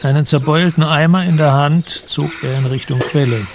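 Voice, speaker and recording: A man narrating a literary radio drama in a measured, descriptive storytelling manner. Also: on the high side, fairly even intonation, background noise, lightly acted.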